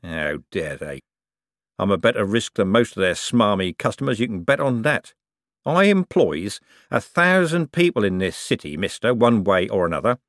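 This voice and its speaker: A man voicing a gruff, rich businessman, who talks slowly and boastfully. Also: on the low side, very animated, fairly bright tone, dry recording, studio quality. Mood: indignant. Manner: boastful, blunt, slow and emphatic. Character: gruff rich working-class male businessman.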